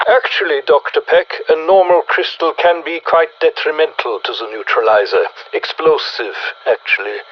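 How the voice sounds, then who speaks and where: Husky scientist over a muffled radio. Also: male voice, medium pitch. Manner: precise, formal, pedantic. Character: erudite male scientist, curious, condescending, fascinated by oddities. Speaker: scientist giving mission briefings. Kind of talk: video game voice acting. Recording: dull, muffled radio transmission.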